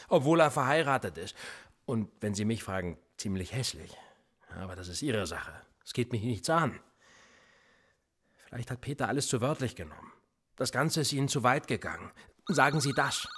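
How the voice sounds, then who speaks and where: A husky needling cop. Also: male voice, medium pitch. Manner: pressing, sarcastic, quietly needling. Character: probing male cop, middle-aged, cold and persistent. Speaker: police interrogator. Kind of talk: radio play acting.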